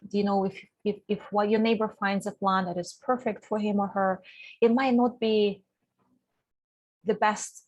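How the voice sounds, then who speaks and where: Woman, Russian accent, sales presenter, explanatory and friendly, mid pitch. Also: rough recording. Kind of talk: webinar presentation.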